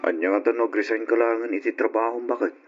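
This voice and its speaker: A man's voice: on the low side, steady tone, fast, over loud music, close to an everyday voice. A man playing an anxious, protective husband in an old-style radio drama. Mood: worried, coaxing. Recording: dull radio audio, faint noise.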